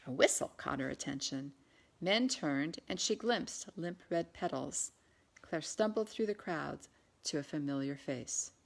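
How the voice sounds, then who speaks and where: A woman with a slightly low voice narrates a historical novel. She reads scripted prose as the author of the book. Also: animated, a little slow, creaky. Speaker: author reading their own novel.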